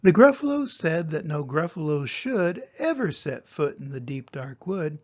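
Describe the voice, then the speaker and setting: A man's voice: a little high, big pitch swings, measured pace, darkish tone, muffled recording. An older man, a grandfather, reading a children's story aloud expressively.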